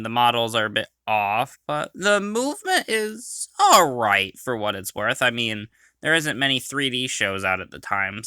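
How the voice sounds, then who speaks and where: A man with a bright, clear voice recorded close and dry. He delivers informal review commentary as a host. His way of talking is conversational, softening opinions with small qualifications. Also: medium pitch, no room sound.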